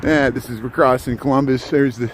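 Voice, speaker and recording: A man's voice: medium pitch, steady tone, brisk. Rambling man livestreaming on city streets. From southern Indiana, US. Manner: rambling, chatty, answers viewer comments. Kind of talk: walking livestream commentary. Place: outdoors on cold city streets. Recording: faint street noise outdoors. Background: city traffic and street ambience.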